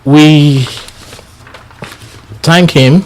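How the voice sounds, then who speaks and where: A man whose first language is Yoruba gives a bilingual Islamic lecture in English. He sounds tired and talks earnestly, with halting restarts. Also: fairly high pitch, fairly even intonation. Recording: studio lecture for radio/TV.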